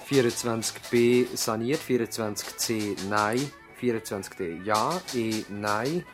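An actor playing an earnest, courteous doctor at a village practice in a radio play, with a Swiss German accent. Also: male voice, fairly low pitch, a little slow, over music, lightly acted. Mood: businesslike, dictating. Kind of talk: radio play acting, dialogue and dictation. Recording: 1970s radio drama recording.

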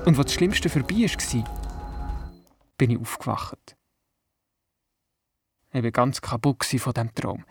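A man's voice: mid-range voice, lively intonation, measured pace, gravelly, pauses often, slightly rough, no room sound, soft background music, exaggerated voice. An actor with a Swiss German accent playing a curious, imaginative grandson who narrates his adventures in a radio play. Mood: storytelling. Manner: inquisitive, childlike storytelling. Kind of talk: scripted first-person radio play narration.

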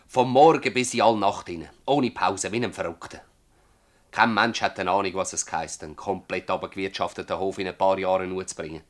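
An actor playing a stubborn old farmer in a radio drama, speaking emphatically and haltingly with a Swiss German accent. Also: male voice, low-pitched, lightly acted. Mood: bitter, emphatic. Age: older. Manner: emphatic, halting, earthy testimony. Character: gruff old Swiss farmer, stubborn, defensive, worn by toil. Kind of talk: dialect radio drama acting.

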